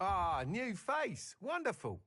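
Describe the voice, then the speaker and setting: An actor playing an enthusiastic broom seller in a video game, eager and persuasive. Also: male voice, high-pitched, wildly swooping pitch, takes it slowly, fairly bright tone, breathy edge, heavily acted. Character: enthusiastic, salesmanlike male broom shop owner.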